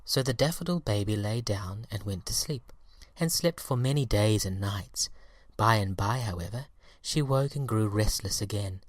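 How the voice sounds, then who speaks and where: A man reading a children's story aloud in an expressive, deliberate storytelling manner. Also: on the low side, dry recording, clean studio sound. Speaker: audiobook reader.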